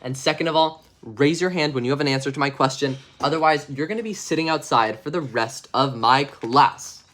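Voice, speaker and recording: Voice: medium pitch, a little fast, few pauses, a bit bright, close to an everyday voice. Stern storybook teacher, always exasperated, scolding a misbehaving pupil, read aloud by a storyteller. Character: strict scolding schoolteacher.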